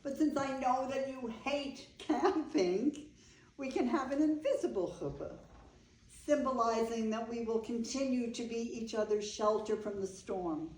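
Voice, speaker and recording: Woman acting slow-spoken older bride, echoey room. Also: fairly high pitch, a little mellow, natural voice. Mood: tender. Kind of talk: staged play reading. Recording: roomy, echoing live room recording.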